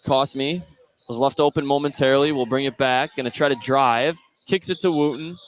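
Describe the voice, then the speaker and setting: A man's voice: medium pitch, steady tone, normal speed. A man doing live play-by-play basketball commentary on the radio. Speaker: play-by-play announcer. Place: indoor school basketball gym. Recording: noisy courtside gym broadcast. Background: clear gym noise.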